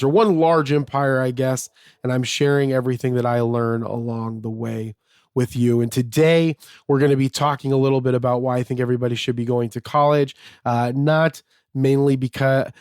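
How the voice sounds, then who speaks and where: A man hosting his own podcast, talking casually and a bit slightly raspy from a fever, with lots of fillers and restarts. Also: medium pitch, darkish tone, no echo, clean studio sound. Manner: rambling, many fillers and restarts. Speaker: podcast host. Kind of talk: casual solo podcast monologue.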